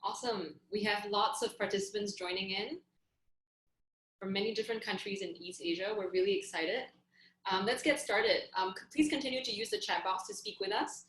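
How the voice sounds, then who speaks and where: A woman's voice: mid-range voice, brisk, a bit bright, echoey room, a little hiss or hum, low-quality recording. A woman from Singapore, hosting a video call and introducing judges, upbeat and full of "um" fillers. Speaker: host.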